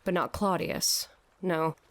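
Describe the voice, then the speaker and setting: A woman's voice: lowish voice, expressive, takes it slowly. An actress playing a dazed, theatrical woman who declaims in a grandiose mock-Shakespearean way in an audio drama. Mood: mock-tragic. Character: dazed theatrical woman raving as Hamlet to a fish head. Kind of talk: scripted audio drama acting.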